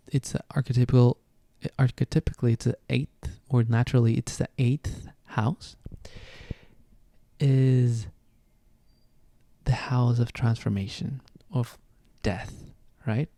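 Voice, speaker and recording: A man's voice: medium pitch, level tone, takes it slowly, long pauses, warm tone, dry recording. A man with a Venezuelan Spanish accent, a native Spanish speaker hosting a podcast and talking reflectively, often searching for words. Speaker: podcast host. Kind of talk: casual solo podcast talk on astrology.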